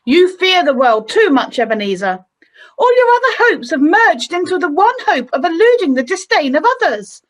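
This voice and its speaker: Woman as heartbroken high-voiced young fiancée. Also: very animated, moderate pace, low-quality recording. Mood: sorrowful reproach. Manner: earnest, sorrowful. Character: Belle, heartbroken young fiancée, gently reproachful. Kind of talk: amateur radio play acting.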